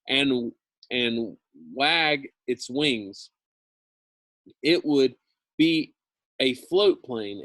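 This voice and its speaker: A man gives an expressive read-aloud of a story at an unhurried pace. He leans into phrases with dramatic emphasis and drops into informal commentary. The sound is dry, with very little room. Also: medium pitch, dry recording. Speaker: teacher reading a novel aloud.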